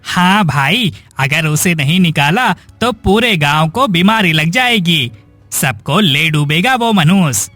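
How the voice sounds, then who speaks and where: A man's voice: a little high, lively intonation, slow pace, bright, forceful tone, dry recording, faint music behind, heavily acted. A man voicing a harsh, hostile village man in a cartoon moral story, scolding and agitated. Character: harsh, hostile village men driving out the sick.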